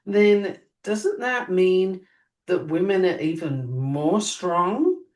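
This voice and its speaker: A woman's voice: on the low side, slow pace. Woman co-host, mic a bit distant. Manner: lively, musing, rising emphatic questions. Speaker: podcast co-host. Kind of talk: casual conversational chat.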